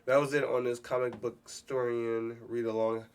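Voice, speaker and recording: A man hosting a read-along, giving informal off-the-cuff commentary in a halting, self-correcting way. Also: medium pitch, level tone, smooth. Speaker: host of a read-along reaction. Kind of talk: casual unscripted commentary.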